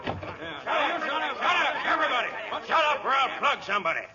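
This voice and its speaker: A man playing a brusque, bossy small-town American police chief in old-time radio drama, gruff and clipped. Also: extremely high pitch, big pitch swings, brisk, a loud crowd, exaggerated voice. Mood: barking orders. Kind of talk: 1930s-40s radio acting. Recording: muffled, noisy old radio broadcast.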